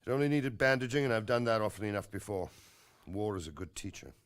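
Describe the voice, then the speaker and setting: An actor playing a gruff medieval castle captain in a video game, blunt and authoritative yet kindly. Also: male voice, fairly low pitch, expressive, fast talker, no echo, natural voice. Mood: reassuring. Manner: blunt, authoritative, ordering people about. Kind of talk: video game cutscene acting. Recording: dramatic music behind the voice.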